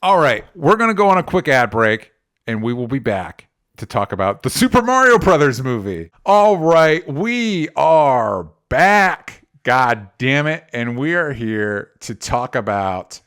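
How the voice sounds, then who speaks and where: A male podcast host with an average-pitched voice. He talks in a casual, conversational way and pushes his opinions with emphasis. His language is unfiltered and sweary. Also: sing-song, a little slow, fairly bright tone, smooth. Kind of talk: casual opinionated movie chat.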